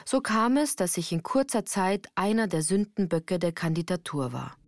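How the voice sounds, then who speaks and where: A woman's voice: on the low side, big pitch swings, moderate pace, a little mellow, no echo, clean studio sound. A woman reading scripted first-person literary narration for a radio play in a composed, articulate storytelling manner.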